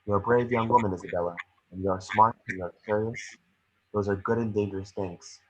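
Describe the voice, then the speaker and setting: A man reading the part of a gentle, remorseful witch ghost mentor in an amateur table read, earnest and measured. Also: lowish voice, steady tone, slightly rough, low-quality recording. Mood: wistful.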